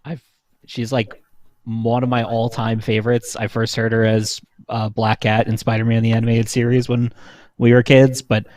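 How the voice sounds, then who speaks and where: Guy in his 30s, mid-pitched, geeking out on a podcast, casual and sweary. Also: level tone, normal speed, a little mellow. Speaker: podcast panelist. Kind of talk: casual enthusiastic chat about voice actors.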